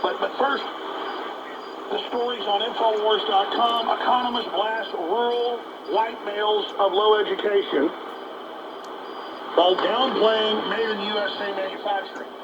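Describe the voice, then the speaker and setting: A man's voice: high-pitched, steady tone, measured pace. A man hosting a talk show, delivering an indignant, emphatic political commentary on a muffled, noisy shortwave radio broadcast.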